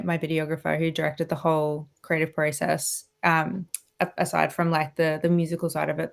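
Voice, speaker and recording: Chatty woman, somewhat low voice. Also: creaky. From Australia. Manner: chatty, laughs often, many restarts and fillers. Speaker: guest violinist. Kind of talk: casual radio interview.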